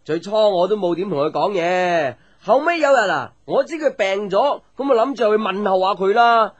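A man's voice: high-pitched, expressive, normal speed, highs cut off. An actor playing a chatty, boyish young man with a Hong Kong Cantonese accent, telling stories playfully and confidingly in a radio drama. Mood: chatty, light. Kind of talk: radio drama acting, casual storytelling chat.

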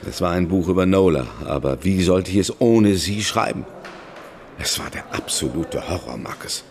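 An actor playing a lovesick, remorseful older novelist in a German radio drama, confessional and pleading. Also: male voice, sits low, animated, moderate pace, darkish tone, slight background noise. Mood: anguished confession. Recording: music behind the voice.

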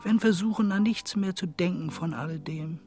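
A woman's voice: lowish voice, fairly quick, over music, lightly acted. A woman playing a watchful observer who narrates an eerie, absurd tale in a radio play, in a dramatic scripted style. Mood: brooding.

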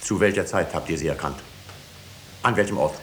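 An actor playing a coldly authoritative older judge, deliberate and imperious, in a 1950s German radio drama. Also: male voice, low-pitched, fairly bright tone. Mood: grave. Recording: faintly hissy 1950s radio recording.

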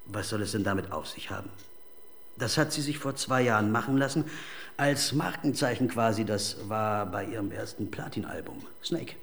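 An actor playing a smug, evasive music manager in a radio crime drama, coldly businesslike, formal and condescending. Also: male voice, on the low side, normal speed, gravelly, a bit bright. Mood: smooth, evasive.

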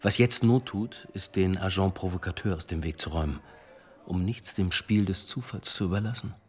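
A man acting in a German radio drama as a dry, self-assured police chief inspector, speaking in a measured, formal, pointed way. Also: low-pitched, close to an everyday voice. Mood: assured, insistent. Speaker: actor in a radio play.

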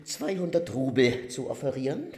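A man plays a foreign gentleman in a radio play, formal and courtly with menace underneath. His voice is mid-pitched and comes with a noticeable room echo. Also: expressive, normal speed, lightly acted. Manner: ornate, formal phrasing. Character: foreign gentleman, courtly, then curtly threatening. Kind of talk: German radio play acting. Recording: roomy, echoing studio sound.

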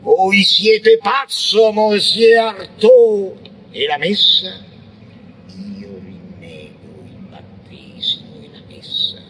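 Slow, sharp-edged, ranting visionary poet. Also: male voice, high voice, big pitch swings, slight background noise, exaggerated voice, low-quality recording. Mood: frenzied, incantatory, then scornful. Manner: declamatory, lurching from whispers to shouts. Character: frenzied, blasphemous visionary poet ranting against God and man. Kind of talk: intense theatrical monologue, avant-garde poetic radio drama.

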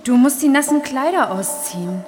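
A woman's voice: high-pitched, lively intonation, a little mellow. A woman playing a seductive, languid dream lover coaxing a man in an intimate radio drama. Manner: slow, insistent, sultry. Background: music.

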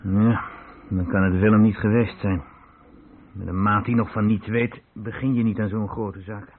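Man doing tired frustrated police inspector, musing out loud, tinny old radio drama. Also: on the low side, little pitch movement, moderate pace, slight background noise, natural voice. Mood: pondering. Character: weary, frustrated male police inspector, thinking aloud. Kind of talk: Dutch radio play acting. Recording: tinny, narrow-band old radio recording.

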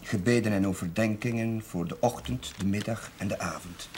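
An actor playing a calm, devout clergyman, measured and gently instructive, in a television drama. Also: fairly low pitch, a little hiss or hum, close to an everyday voice. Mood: calm, explanatory.

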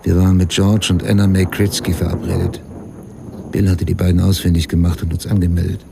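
A man's voice: low voice, flat delivery, brisk, creaky, noisy background. An actor narrating a German radio drama in the first person as a grim male crime writer, sober and terse, investigating his mother's murder.

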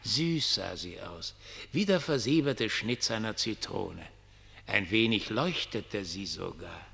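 Slow gravelly vagrant prophet in a cavernous hall. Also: male voice, on the low side, expressive, a bit bright, exaggerated voice. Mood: dreamy, pitying. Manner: theatrical, declamatory. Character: ragged homeless dreamer Brutus, mocking, prophetic and pitying. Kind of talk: German radio play acting. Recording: long reverberant hall.

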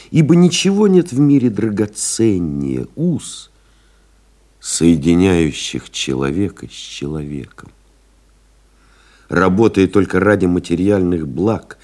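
An actor playing a pensive airmail pilot who recalls lost comrades in a literary audio drama, solemn and reflective, with measured dramatic pauses. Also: male voice, on the low side, a little mellow, full character voice. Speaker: actor reading a literary memoir as narrator.

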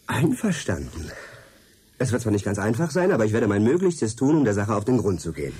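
A husky, mellow, probing male journalist. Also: on the low side, big pitch swings, a little fast. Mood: businesslike. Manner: probing, articulate questioning. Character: persistent, dryly sceptical male freelance science journalist. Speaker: actor. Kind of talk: radio drama acting.

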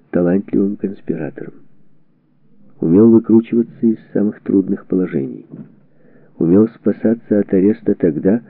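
A man narrating a historical book from a script, with measured, deliberate phrasing and pauses. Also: lowish voice, gravelly, no echo. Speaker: audiobook narrator. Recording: band-limited, tinny phone-like sound.

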